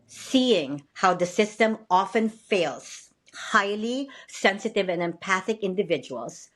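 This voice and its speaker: A woman podcast host with a Filipino accent, speaking upbeat and laughing often on a video call. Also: medium pitch. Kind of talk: podcast interview.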